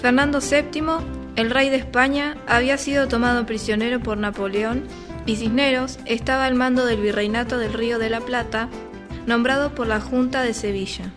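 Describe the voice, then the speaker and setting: A woman's voice: fairly high pitch, steady tone, normal speed, over music. A young woman giving scripted narration for a student radio play. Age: teenager or young adult.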